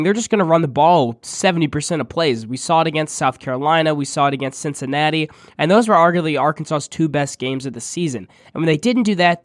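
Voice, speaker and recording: A man's voice: fairly high pitch, no room sound, studio quality. Nasal-sounding man hosting a sports podcast. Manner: steady, stat-heavy analysis. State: under the weather. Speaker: podcast host. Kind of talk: sports preview monologue.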